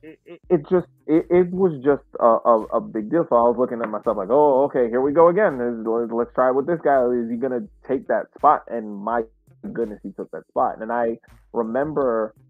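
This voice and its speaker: A man hosting a casual soccer podcast discussion, speaking haltingly and repeating words as he reflects. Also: medium pitch, faint music behind. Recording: muffled, roomy sound.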